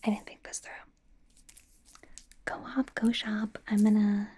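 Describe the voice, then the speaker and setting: A woman performing a whispered ASMR roleplay as a bubbly, doting young pop idol stylist, breathy, playful and reassuring, with chatty asides. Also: mid-range voice, level tone, a little slow, mellow.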